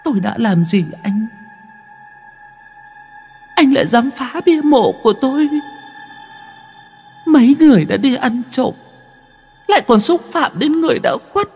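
A man doing a shrill vengeful female ghost. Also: expressive, a little slow, pauses often, a little mellow, in a large hall, faint music behind, heavily acted. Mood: accusing. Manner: high, shrill and reproachful, with eerie laughter. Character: vengeful young female ghost, angry, mocking.

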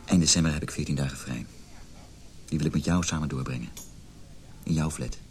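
A man's voice: a bass voice, a little fast, slight background noise. An actor playing an evasive, conflicted married man placating his lover, defensive and emotional, in a Dutch radio play. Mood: soft, coaxing.

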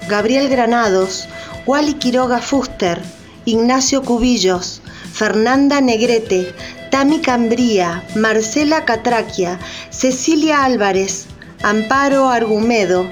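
A woman narrating and announcing scripted radio theatre. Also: fairly high pitch.

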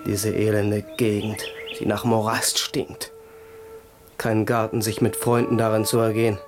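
An actor playing a young crown prince, sarcastic and brooding, in a 1970s radio play. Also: male voice, on the low side, completely flat delivery, unhurried, over music, lightly acted.

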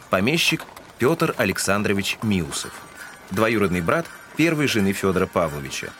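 A man's voice: on the low side, background noise, lightly acted. A man giving scripted literary narration in a Russian audio drama.

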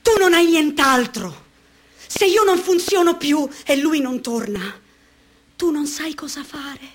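A lonely young woman in a radio play. Her voice sits high and light. She speaks in a hushed, slow, musing way. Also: lively intonation. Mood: bitter, taunting. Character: lonely, dreamy young woman, fragile and provocative. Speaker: actress in a radio drama.

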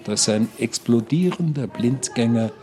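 A man's voice: mid-range voice, expressive, measured pace, mellow, soft background music, lightly acted. A man playing a darkly cheerful care-home inmate in a radio play, coaxing his companions with rhetorical questions. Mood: emphatic, reassuring. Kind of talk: radio play monologue and dialogue.

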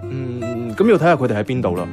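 A man's voice: medium pitch, very animated, quick pace, close to an everyday voice. An actor playing a pragmatic young man in a Hong Kong Cantonese radio drama, hesitant in love, with a dry, incredulous, colloquial way of talking. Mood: dry, resigned. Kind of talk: radio drama dialogue with some narration. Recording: music under the voice.

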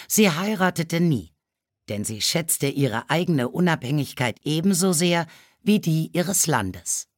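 A woman's voice: low voice, expressive, measured pace, dry recording. Woman narrating a storybook, super dry recording no room sound. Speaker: audiobook narrator.

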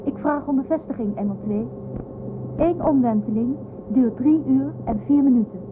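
A woman playing an eager, girlish young starship crew member in a science fiction radio drama, reporting crisply. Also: high voice, normal speed, noisy background, lightly acted. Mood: businesslike. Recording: thin phone-like sound.

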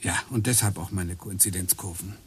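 A man's voice: low-pitched, brisk, breathy edge. An actor playing a middle-aged husband in a radio play, earnest and eager as he lectures his wife about hidden connections. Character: obsessive husband hunting coincidences.

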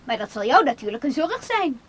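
High-voiced, clinging young woman. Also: very animated, a little fast, husky, exaggerated voice. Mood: bitter, defiant. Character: lonely, clinging, touchy woman, much younger than her companion. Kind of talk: Dutch radio play acting. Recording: radio play recording with background noise.

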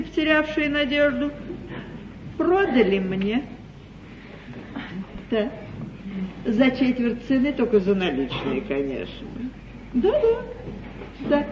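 Haughty duchess, deliberate and sweeping in pitch, mocking stage-comedy style, muffled old theatre broadcast. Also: female voice, roomy sound, a little hiss or hum, exaggerated voice. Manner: deliberate, theatrical, ironically polite. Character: haughty, cunning duchess, coolly mocking and triumphant. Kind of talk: classical stage comedy acting.

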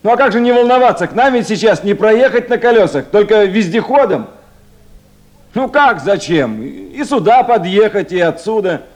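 A busy official on night duty in an old radio drama. The voice is high for a man and swings expressively, brisk and familiar, with a caring streak. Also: animated. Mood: brisk, insistent. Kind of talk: 1970s Soviet radio play acting.

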